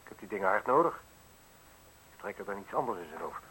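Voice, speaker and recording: A man's voice: fairly low pitch, expressive, fast, fairly bright tone, rough recording. An actor playing a brusque, impatient man of standing in a Dutch radio play. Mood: impatient, annoyed. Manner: brusque, demanding. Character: brusque, impatient, famous man receiving a visitor. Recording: hissy old radio drama recording.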